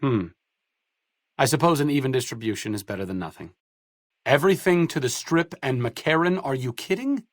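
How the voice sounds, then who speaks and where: A man's voice: medium pitch, lively intonation, normal speed, no room sound, lightly acted. A man playing a wry, educated, idealistic doctor-researcher in a post-apocalyptic video game, articulate and sardonic. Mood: mild, measured, then incredulous. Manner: dry, articulate, sardonic, self-deprecating. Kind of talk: video game companion dialogue.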